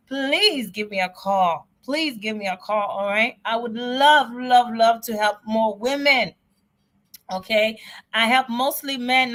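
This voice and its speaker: Bright-voiced woman hosting a livestream. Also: on the high side. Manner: emphatic, repeats herself, direct appeals. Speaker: immigration legal helper hosting a livestream. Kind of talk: casual livestream Q&A.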